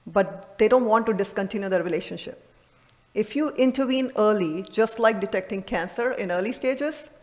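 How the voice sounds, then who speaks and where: A woman giving an earnest, emphatic medical conference lecture as a presenting radiologist, recorded in a reverberant hall. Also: medium pitch.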